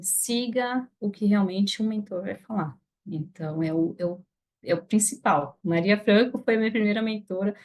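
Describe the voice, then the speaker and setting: A woman guest on a podcast talks about her work as an affiliate marketer. Her voice sits a little high, and she explains her points casually, often acting out what she once thought and said. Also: a little mellow. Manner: explanatory, acts out her own past reactions. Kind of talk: casual interview chat.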